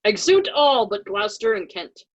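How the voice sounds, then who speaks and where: A woman with a husky voice reading stage directions as scripted narration. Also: mid-range voice, very animated, moderate pace, muffled recording.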